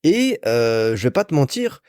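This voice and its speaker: Casting: young male podcast host from France, chatty and informal, animated intonation, dry studio-like sound. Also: medium pitch, measured pace, clear, resonant tone, no echo. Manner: chatty, informal, addresses listener as a friend. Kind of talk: casual solo podcast monologue, storytelling.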